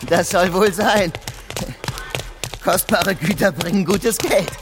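Deferential, evasive merchant's clerk. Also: male voice, high voice, takes it slowly, noisy background. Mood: nervous, evasive. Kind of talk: German radio play acting.

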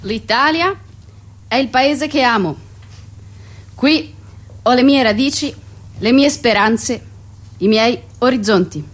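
A woman's voice: fairly high pitch, slow pace, pauses often. A young woman acting a stage monologue as a proud, outspoken dreamer with a declamatory delivery. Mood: proud, defiant. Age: young adult. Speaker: theatre actress. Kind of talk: theatrical monologue. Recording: music under the voice.

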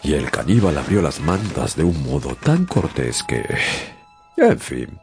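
A man's voice: rumbling low, wildly swooping pitch, measured pace, creaky, a little mellow, heavily acted. An actor playing a young sailor who narrates his own sea adventure in a dramatized radio story. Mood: wry, reflective. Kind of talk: dramatized first-person storytelling. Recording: music bed behind narration.

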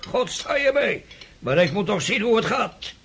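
An actor playing a cantankerous, opinionated old prince in a radio drama, husky and creaky, sputtering and repeating himself as he blusters. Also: high voice, sing-song, normal speed, fairly bright tone, slight background noise, heavily acted. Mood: scornful, agitated. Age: older.

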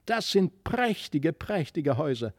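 A man's voice: a little high, big pitch swings, a little slow, no echo, studio quality, exaggerated voice. A man voicing an adventurous little storybook boy, full of wonder and eagerly urging. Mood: delighted.

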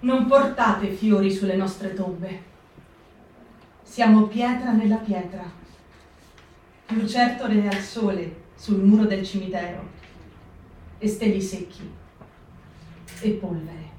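A woman reads in a slow, weighty voice, giving each phrase room. She sounds set back from the microphone in a roomy, echoing space. The style is a performed stage reading. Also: medium pitch, a little mellow, slight background noise. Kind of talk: dramatic reading for radio. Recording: echoey stage reading from far off.

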